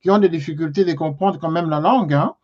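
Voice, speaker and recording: A man hosting a TV talk show, speaking in a polite, measured way with frequent hesitations. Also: fairly high pitch, darkish tone, muffled recording. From Congo. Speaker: TV show host.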